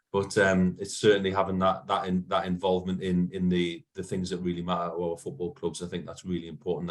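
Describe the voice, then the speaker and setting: A young man with a Newcastle accent speaks earnestly to a meeting, full of ums and restarts. His voice sits low. He keeps his pitch even and level. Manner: earnest, many fillers and restarts. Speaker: guest speaker. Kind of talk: meeting talk.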